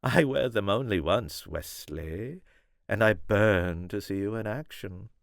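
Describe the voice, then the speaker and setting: A man's voice: lowish voice, measured pace, vocal fry, dry recording, studio quality, pitched above the performer's own voice. A man voicing a haughty, flirtatious countess in an audiobook, with a posh British accent and an imperious drawl. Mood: coy, commanding. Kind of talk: audiobook character voice.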